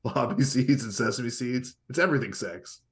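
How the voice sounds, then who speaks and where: A man in casual comic banter as a guest, speaking in a deadpan, halting way. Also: mid-range voice. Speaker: comedy guest.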